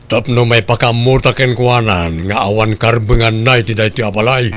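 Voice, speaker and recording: A man's voice: medium pitch, moderate pace, fairly bright tone, slight background noise, lightly acted. Guy doing a stubborn homeowner dude in an audio drama, regular mid voice, firm. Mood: defensive. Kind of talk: radio drama acting.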